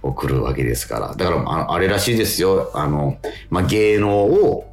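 A man co-hosting a casual radio show, telling anecdotes and making announcements in a halting way with lots of self-interjections. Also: sits low, sing-song, soft background music.

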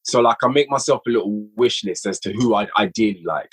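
A young man with a slightly husky voice speaks casually as a podcast guest, explaining how he writes and directs audio stories. His delivery is eager and animated, breaking now and then into quick bursts of energy. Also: lowish voice. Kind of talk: casual conversation.

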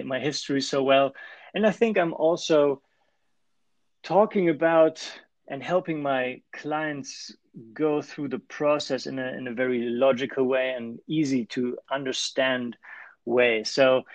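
Gravelly German-accented man, measured. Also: medium pitch, no echo. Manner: explanatory, restarts phrases. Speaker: life and performance coach, guest. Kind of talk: podcast interview.

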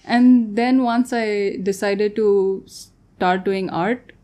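Relaxed woman with a mellow voice. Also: mid-range voice, drawn-out words. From Tanzania. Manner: relaxed, laughs often, trails off mid-sentence. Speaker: guest artist. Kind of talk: casual interview chat.

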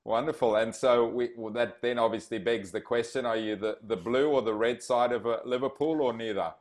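A man's voice: mid-range voice, steady tone, brisk. A man from Zimbabwe hosting a podcast interview, enthusiastic and chatty. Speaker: podcast host. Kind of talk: casual interview chat.

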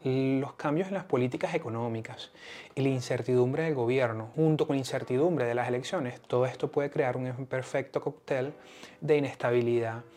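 A man's voice: medium pitch, normal speed, warm tone. A man with a Venezuelan accent hosting an explanatory podcast in a partly scripted monologue. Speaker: podcast host.

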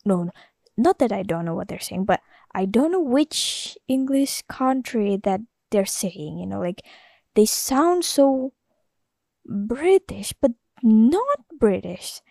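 A woman with an Indonesian accent talks slowly in a rambling, hesitant solo podcast, reaching for fillers as she goes. Her voice sits a little high but has a gravelly, creaky edge. The sound is dry and close. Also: sing-song, a little mellow, no echo. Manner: rambling and hesitant, full of "like" and "you know". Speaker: podcast host. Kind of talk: casual solo podcast monologue.

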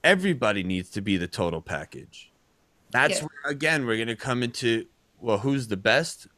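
A man's voice: medium pitch, expressive, moderate pace, sharp tone, dry recording. A man co-hosting a casual talk show, rambling and chatty with lots of fillers. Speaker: podcast co-host. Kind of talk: casual chat.

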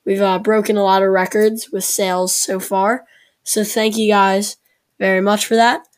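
A woman hosting a casual podcast, talking with a sore throat and a congested voice, chatty and halting with frequent fillers. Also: mid-range voice, flat delivery. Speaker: podcast host.

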